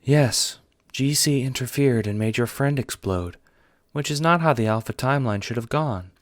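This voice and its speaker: A man voicing a blunt female alien troll time traveler in an audio drama, speaking formally and with measured care. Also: medium pitch, background noise, lightly acted. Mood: blunt, solemn. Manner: formal, measured, few contractions.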